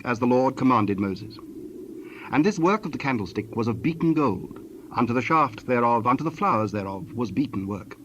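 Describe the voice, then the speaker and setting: A man with a medium-pitched voice narrates scripture for an audio dramatization. His delivery is formal and measured. The recording is slightly dull, with faint noise behind him. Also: fairly bright tone.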